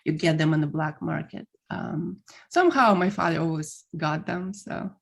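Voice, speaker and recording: A woman in her thirties from Russia, speaking English with a Russian accent as her second language, chatting as a podcast guest in a relaxed, hesitant way. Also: sits low, moderate pace, creaky. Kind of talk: casual chat.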